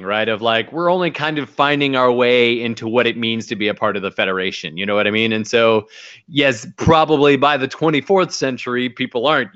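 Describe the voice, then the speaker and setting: A man talks through an old TV episode, summarizing the plot and slipping into quick impressions of the characters. His voice sits in the middle of the male range. The recording is dry and a bit dull. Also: medium pitch, fairly bright tone, dry recording. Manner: summarizes scenes and acts out quoted lines. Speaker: podcast co-host recapping an episode. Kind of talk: casual recap chat.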